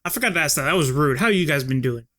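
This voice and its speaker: Higher-pitched man hosting a casual chat. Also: lively intonation, very fast, pushed and bright. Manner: informal, sweary. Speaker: podcast host.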